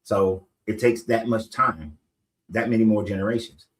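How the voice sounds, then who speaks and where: A man talking in a casual discussion. He reasons aloud in a measured way, checking in with rhetorical questions. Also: on the low side.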